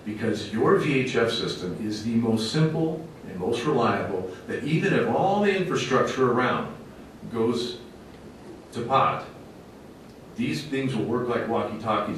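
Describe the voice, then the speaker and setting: A man's voice: animated, a little slow. Echoey, distant man giving a public presentation. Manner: emphatic, plainspoken, folksy. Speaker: fire company officer giving a presentation. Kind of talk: public presentation to a council committee. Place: large echoey indoor meeting room. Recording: very echoey room, distant mic, faint rumbling noise.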